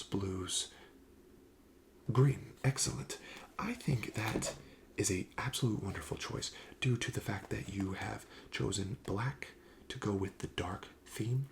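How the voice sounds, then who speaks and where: A man speaking softly and breathily, often near a whisper, in a gentle, polite ASMR shopkeeper roleplay. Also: on the low side, creaky, slight background noise. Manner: gentle, attentive, polite salesman patter. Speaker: ASMR roleplayer playing a card shop clerk. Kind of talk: soft-spoken ASMR shop roleplay.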